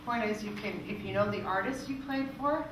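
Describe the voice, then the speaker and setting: A woman presents and answers questions in an informal, explanatory way. The room is very echoey and she sounds distant from the mic, with clear noise behind her. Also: mid-range voice, a little fast. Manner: conversational, explanatory. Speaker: music royalty organisation manager presenting. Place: meeting room in front of an audience.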